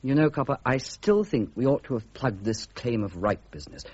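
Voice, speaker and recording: An actor playing a brisk, pig-headed, loyal British officer in a radio drama, husky and blunt. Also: male voice, medium pitch, expressive, a little mellow, highs cut off. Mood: blunt, dry.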